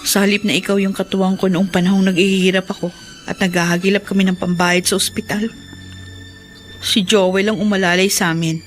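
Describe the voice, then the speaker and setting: Actress as a long-suffering young mother, medium pitch. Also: little pitch movement, brisk, soft background music, close to an everyday voice. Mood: hurt, reproachful. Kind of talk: Filipino radio drama acting.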